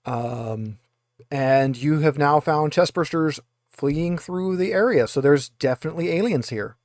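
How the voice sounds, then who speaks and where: A man runs a tabletop roleplaying game as its game master. His voice sits a little high for a man and is recorded dry, with little room sound. He improvises his narration in halting phrases, describing scenes and settling rules as he goes. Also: a little mellow, dry recording.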